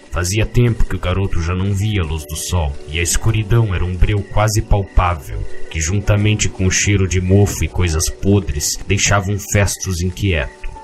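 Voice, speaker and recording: A man with a Brazilian accent narrating a scripted storybook tale. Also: low voice. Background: music with guitar.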